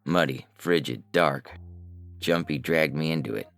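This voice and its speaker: Very deep, gravelly male story narrator. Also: unhurried, a bit bright. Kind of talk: scripted first-person science fiction story narration. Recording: music bed under the voice. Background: music.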